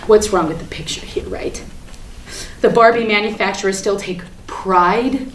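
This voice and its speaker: Actress playing a bitter, manic woman in an echoey room. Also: fairly low pitch. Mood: indignant. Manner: theatrical, emphatic, repetitive, sardonic, swinging from hushed to ranting. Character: bitter, manic woman confessing her war on a Barbie doll. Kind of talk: dramatic monologue at a staged reading. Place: indoor room, live staged reading. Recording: echoey room with background hiss.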